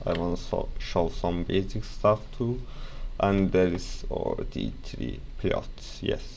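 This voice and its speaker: Young man, Turkish accent, deep and unhurried, rambling tutorial delivery, faint noise behind. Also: lively intonation, creaky, darkish tone. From Turkey. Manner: rambling, self-correcting, casual asides. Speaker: software tutorial presenter. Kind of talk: casual screen-recorded software tutorial.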